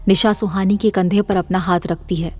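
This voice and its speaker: A woman's voice: medium pitch, fairly even intonation, normal speed, faint music behind. A woman narrator reading a scripted horror story for an audio drama series.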